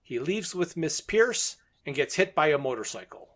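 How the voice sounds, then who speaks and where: A man's voice: a little high, very animated, normal speed, a bit bright. A man co-hosting a podcast, recapping and commenting on a story in an informal, orderly way. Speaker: podcast co-host. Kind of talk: plot recap in casual podcast talk.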